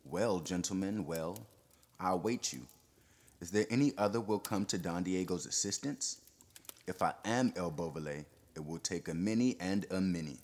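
A man reading an audiobook, voicing a cool gentleman pirate who sounds careless and amused. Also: low-pitched, moderate pace. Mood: mocking.

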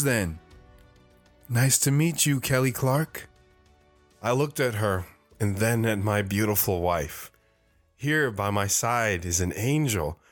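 A man's voice: lowish voice, natural voice. A man playing a devoted, reflective wandering father who tells his own story in an audio drama, earnest and sentimental. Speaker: narrator.

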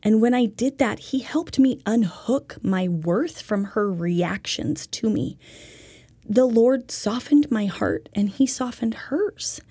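Husky woman giving personal testimony. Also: on the high side, expressive, slow, warm tone, dry recording. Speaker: co-presenter of a religious lesson. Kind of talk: personal testimony told as a teaching story.

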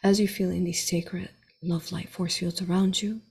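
A woman leading a spiritual guided meditation in a soothing, deliberate, instructing way, with a breathy voice. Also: lowish voice, flat delivery, darkish tone.

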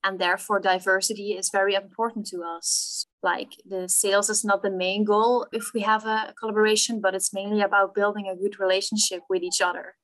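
A woman's voice: medium pitch, little pitch movement, brisk, hardly any pauses, a bit bright, low-quality recording. A young woman in her twenties with a Dutch accent, a guest explaining her work earnestly, with fillers between listed points. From Netherlands. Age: 20s. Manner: earnest, talks in lists with fillers. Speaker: marketing team lead guest. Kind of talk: casual interview chat.

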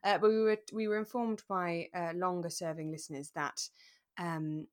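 A woman's voice: fairly low pitch, fairly even intonation, moderate pace. Chatty, articulate British woman podcaster. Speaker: podcast co-host. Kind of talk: relaxed chat discussing a radio drama.

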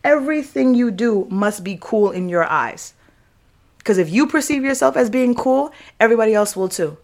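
A woman's voice: on the high side, expressive, normal speed, vocal fry. A young American woman hosting a podcast, giving a casual motivational monologue in a candid, emphatic, slangy way with swearing and repeated phrases. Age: young adult. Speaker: podcast host.

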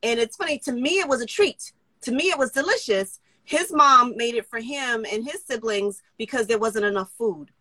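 A middle-aged woman from New tells stories with a comedian's timing, tossing in quick asides. Her voice is bright and forward. The sound is dry and close, on a phone. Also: on the high side, no echo. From New York City. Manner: jokey storytelling, quick asides. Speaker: guest comedian. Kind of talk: casual interview chat.

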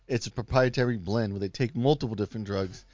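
A man's voice: on the low side, fast, darkish tone, no echo. Man riffing on a dry, dull podcast mic. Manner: profane, riffing, laughing often. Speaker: podcast co-host. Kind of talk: crude comedic banter.

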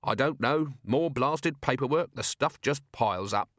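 A man voicing a self-assured, sardonic city watch commander in an audiobook, calm, wry and needling. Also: mid-range voice, unhurried, no room sound, studio quality, pitched above the performer's own voice, natural voice. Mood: mock puzzled.

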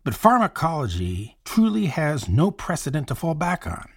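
An actor playing a cynical food critic and podcaster with a husky voice in an audio drama. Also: male voice, fairly high pitch, huge pitch swings, normal speed, full character voice. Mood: polished, enthusiastic.

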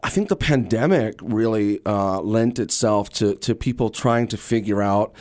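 A man from Louisiana speaking as an actor guest in an interview, telling stories in an enthusiastic, conversational and animated way. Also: on the low side, moderate pace. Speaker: interview guest, actor and radio drama creator.

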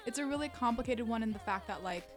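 A woman's voice: fairly high pitch, lively intonation, fast talker, over music. A young woman whose first language was Korean, chatting casually as a podcast guest, filling her talk with "like" and laughing often. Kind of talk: casual conversation.

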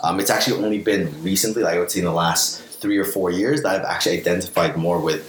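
A man's voice: low voice, steady tone, fast. A man in his late twenties with a western Canadian accent, casually telling personal stories as a podcast guest. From Vancouver, Canada. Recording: echoey room with faint background noise.

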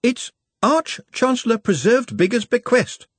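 A man reading an audiobook, voicing a fussy, worried old male scholar who speaks slowly and pedantically. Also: very high-pitched, lively intonation, fairly bright tone, slightly rough, no room sound, pitched above the performer's own voice, full character voice. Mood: fretful. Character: fussy, fretful old male keeper of traditions.

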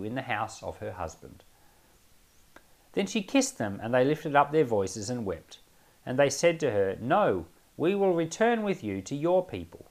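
A man with a mid-pitched voice that rises and falls in a very lively way. He reads scripture and teaches as a pastor would, informally, leaning on key phrases with expressive emphasis. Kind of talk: Bible reading aloud with informal commentary.

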